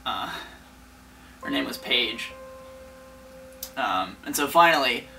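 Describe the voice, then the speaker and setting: A man's voice: medium pitch, animated, slow pace, vocal fry, pushed and bright, far from the mic, a little hiss or hum, voice pushed down, exaggerated voice, rough recording. A man doing a mock dramatic reading as a lovesick, self-serious teenage boy, hesitant and melodramatically earnest. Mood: earnest, brooding.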